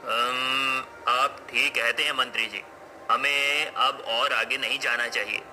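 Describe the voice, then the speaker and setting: A man's voice: mid-range voice, steady tone, unhurried, pushed and bright, slight background noise. A man playing a proud king in a cartoon folk tale, with formal, regal phrasing. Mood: commanding. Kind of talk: cartoon story acting.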